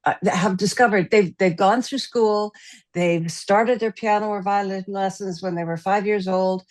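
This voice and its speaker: A woman's voice: medium pitch, steady tone, moderate pace. A woman on a panel giving conversational advice to musicians in an emphatic way. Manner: emphatic, uses rhetorical questions and repetition. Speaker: panelist, music consultant and bio writer.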